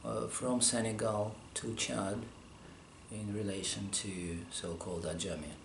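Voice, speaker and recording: A man from Russia, a scholar answering interview questions, pausing often as he searches for his words. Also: fairly low pitch, little pitch movement, vocal fry. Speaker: scholar interviewed about manuscript studies. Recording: roomy echo, faint background hum.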